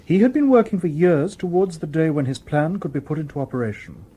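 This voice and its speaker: An actor playing a calm, courteous humanoid robot detective in a radio drama, with formal, precise phrasing. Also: male voice, on the high side, a little fast, husky.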